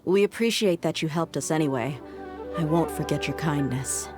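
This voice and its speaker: Actress as deep-voiced, composed fantasy heroine. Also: female voice, moderate pace, natural voice. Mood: sincere. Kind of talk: scripted video game cutscene acting. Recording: music playing behind the voice.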